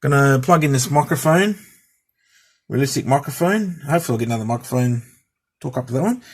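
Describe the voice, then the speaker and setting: A man's voice: mid-range voice, lively intonation, fast, slightly rough. Chatty man testing radio gear. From Australia. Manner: rambling, chatty, repeats test counts. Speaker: radio hobbyist vlogger. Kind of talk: casual hands-on equipment demo. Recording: home recording with noticeable background noise.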